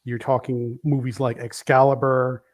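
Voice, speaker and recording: A man in his fifties who grew up in Brooklyn talks at an ordinary middle pitch. He rambles enthusiastically, restarting and correcting himself as a guest on a casual comic book show. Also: little pitch movement, normal speed. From Brooklyn, New York. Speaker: guest reviewer. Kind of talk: casual chat.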